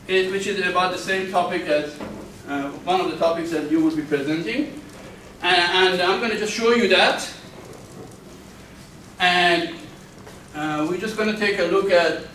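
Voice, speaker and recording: A male university lecturer giving a classroom lecture, speaking slowly and deliberately with careful enunciation and frequent restarts. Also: sits high, fairly bright tone. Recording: echoey classroom from far off, faint background noise.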